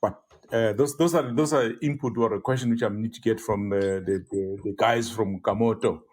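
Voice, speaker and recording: A man on an interview panel asking a long, rambling question, halting on repeated fillers. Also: lowish voice, darkish tone.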